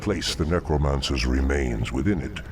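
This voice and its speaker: An actor playing a sinister demon lord in a video game cutscene, commanding, formal and menacing. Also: male voice, a bass voice, expressive, normal speed, over music. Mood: stern, instructing.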